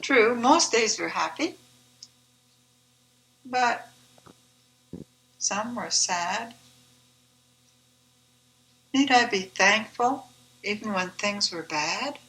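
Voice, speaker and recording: A woman reciting her own devotional poem in a measured, deliberate, reverent manner. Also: medium pitch, expressive, a bit bright, rough recording. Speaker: author reading own poem.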